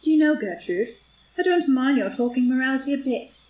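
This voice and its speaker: Scheming society villainess played by a woman: taunting, amused, menacing, higher register, audio drama reading, faint background noise. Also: animated, brisk, darkish tone. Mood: cool, menacing. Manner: arch, taunting, theatrical delivery. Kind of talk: stage play read as audio drama. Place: indoor room, live group reading. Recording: roomy live group reading, faint noise.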